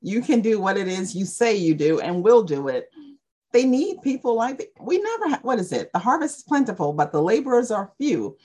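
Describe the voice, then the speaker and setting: A woman's voice: medium pitch, very animated, moderate pace, warm tone, breathy edge. A woman hosting an interview, affirming her guest and asking reflective questions. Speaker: podcast host. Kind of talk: interview conversation.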